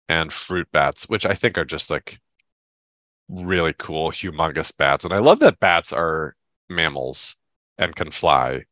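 A stuffed-up man with a low voice, chatting easily as a podcast co-host. He rambles along with enthusiasm. The sound is close and dry, with no room around it. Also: sharp tone, no echo. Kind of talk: casual chat.

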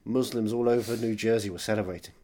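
A man co-hosting a podcast, riffing on absurd tangents in a deadpan, offhand way. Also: lowish voice, fast talker. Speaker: podcast co-host. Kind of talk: casual comedic chat.

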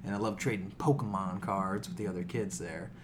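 A man's voice: deep voice, a little fast, a little hiss or hum. An American man co-hosting a podcast in casual, comedic chat, telling stories with plenty of swearing. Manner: animated, profane storytelling. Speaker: podcast co-host.

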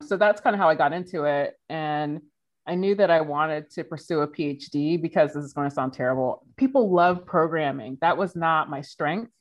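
A woman in her forties, a podcast guest answering questions in a lively, conversational way with frequent laughs. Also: deep voice, moderate pace. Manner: animated, laughs while telling stories.

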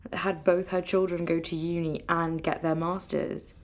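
An actress playing a grieving granddaughter in a naturalistic radio drama, tender and firm, speaking in a measured, earnest way. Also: female voice, on the low side, little pitch movement, natural voice. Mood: firm, reflective.

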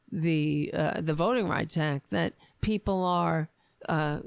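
A woman hosting a solo political commentary show, ranting angrily with profanity while her voice is going hoarse. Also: on the low side, no echo, studio quality. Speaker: host.

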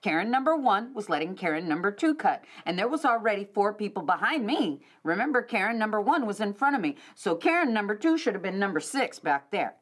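A woman's voice: medium pitch, sing-song, brisk, hardly any pauses. Woman telling a story in casual chat. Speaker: podcast host.

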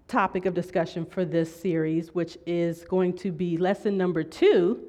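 Low-voiced woman preaching conversationally. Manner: earnest, measured, checks in with listeners by asking "right?" and "amen?". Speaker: preacher teaching a church series. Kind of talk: sermon, conversational teaching.

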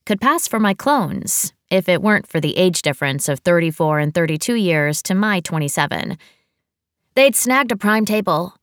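A woman's voice: lowish voice, a bit bright, no room sound, studio quality. An American woman reading a first-person romantic comedy novel as a scripted audiobook narration.

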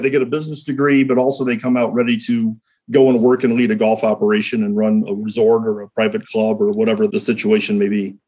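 A man who runs a regional golf association, a guest in a radio interview, speaking in a chatty, easygoing way with a slightly raspy, raw voice from a cold. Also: mid-range voice, darkish tone, low-quality recording. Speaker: golf association executive director.

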